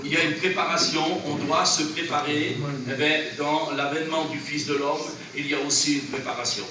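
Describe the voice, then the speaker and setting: Man, preacher, has a cold, runny nose, earnest exhorting sermon, slightly high voice, heavy church echo, far from the mic. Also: steady tone. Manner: earnest, exhorting, pausing between short phrases. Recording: very echoey church, from far off, noisy.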